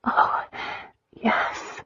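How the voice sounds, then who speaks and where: Narrator voicing a breathy, husky young female lover. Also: mid-range voice, unhurried, no room sound, highs cut off, voice pushed up. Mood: breathless, aroused. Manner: pleading, intimate. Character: young woman lover, vulnerable, breathy, pleading. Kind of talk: romance audiobook character dialogue.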